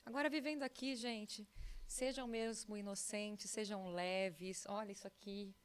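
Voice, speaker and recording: A woman's voice: medium pitch, a little slow, clean tone. A woman in her forties with a São Paulo countryside Brazilian accent, a guest talking freely on a podcast and often breaking off mid-sentence. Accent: São Paulo countryside Brazilian Portuguese. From interior of São Paulo state, Brazil. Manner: chatty, animated, breaks off and restarts. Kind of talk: casual podcast chat. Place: farm in the countryside.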